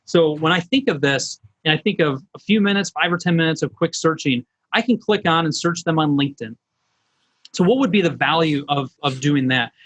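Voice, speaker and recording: Middle-aged man, business coach, slightly high voice, informal, folksy, presenting online. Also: a little fast, fairly bright tone.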